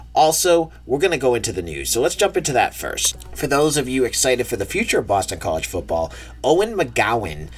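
A man with a nasal, congested voice from a cold, hosting a sports podcast in a rambling, chatty way. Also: lowish voice. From New England. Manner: rambling, self-correcting, chatty. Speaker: podcast host. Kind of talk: casual sports podcast talk.

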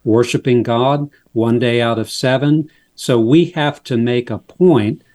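A man speaking as a guest in a faith interview, explaining his subject in a measured, unhurried way. His voice sits at an ordinary pitch and he explains each point step by step. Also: mellow. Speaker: pastor and author, guest.

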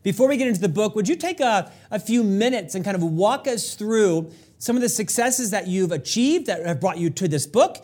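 A man's voice: sits high, a little mellow. A man hosting an interview, asking long, effusive questions. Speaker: interview host.

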